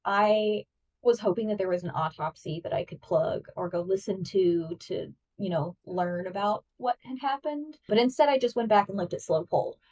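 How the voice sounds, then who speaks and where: A woman's voice: mid-range voice, normal speed, darkish tone, recorded from a distance, poor audio. A woman co-hosting a podcast in casual, chatty conversation, often saying "like". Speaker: podcast co-host. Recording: voice a bit off-mic.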